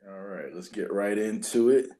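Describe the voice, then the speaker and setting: A man's voice: fairly low pitch, moderate pace, mellow. A man from western Pennsylvania co-hosting a podcast, introducing a guest and asking questions in a conversational way. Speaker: podcast co-host. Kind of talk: casual interview hosting.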